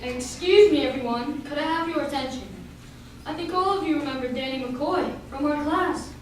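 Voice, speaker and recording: A woman's voice: high-pitched, moderate pace, lightly acted. Officious, echoey class organizer, played by a woman. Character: officious, eager former valedictorian organizing her classmates. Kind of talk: amateur radio play acting. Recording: very echoey room, distant mic, noisy.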